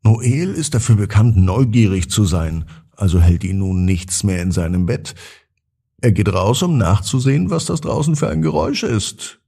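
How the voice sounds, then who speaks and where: A man narrating a scripted bedtime story for children in a gentle, drawn-out, expressive way. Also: fairly low pitch, creaky, husky.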